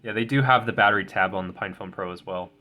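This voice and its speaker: A man's voice: lowish voice, fast talker, bright, forceful tone. Tired, stuffy-nosed young man unboxing a phone. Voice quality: slightly nasal. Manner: rambling, self-correcting, many ums and stumbles. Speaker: tech video creator. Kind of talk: casual unboxing commentary. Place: desk at home, indoors.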